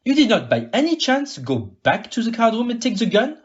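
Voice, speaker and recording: Audio-play detective, male actor: polite, probing, exact, very animated intonation, muffled recording. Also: very high-pitched, heavily acted. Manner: formal, polite, deliberately questioning. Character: shrewd, courteous male detective, probing and precise.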